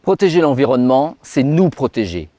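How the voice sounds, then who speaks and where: A man who works in the pharmaceutical industry, delivering crisp, slogan-like campaign statements. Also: medium pitch, very animated, a little fast, warm tone, no echo. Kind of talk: campaign testimonial.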